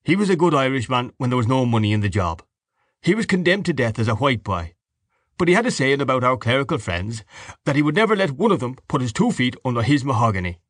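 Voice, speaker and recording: A man's voice: mid-range voice, sing-song, fast talker, no room sound, studio quality, voice pushed up. A man playing a scornful, blustering, outspoken Irish father in an audiobook. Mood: bitter scorn.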